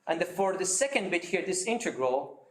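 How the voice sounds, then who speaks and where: A man giving a seminar talk, explaining his method step by step with many pauses and fillers. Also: high-pitched, very animated, a bit bright, reverberant. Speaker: seminar speaker.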